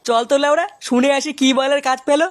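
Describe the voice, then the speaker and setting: A performer plays a young, bossy neighbourhood lad in a cartoon. The speech is fast and high, full of brash slang. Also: steady tone, no echo, natural voice. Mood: disbelieving. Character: bossy, foul-mouthed young neighbourhood gang leader Poltu. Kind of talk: crude comic cartoon dialogue.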